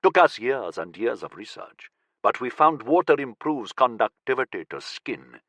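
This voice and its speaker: A man voicing a cold, menacing militant leader who speaks slowly in a low, dangerous tone. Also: very animated, a bit bright, no room sound.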